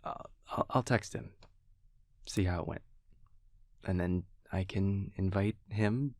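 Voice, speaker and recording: A man playing an affectionate, wry vampire boyfriend in an intimate audio roleplay, speaking casually and hesitantly in a low, gravelly voice. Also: slow, no echo, clean studio sound. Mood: thoughtful, hesitant. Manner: hesitant, casual, softly teasing. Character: affectionate, wry male vampire boyfriend, self-deprecating and easygoing.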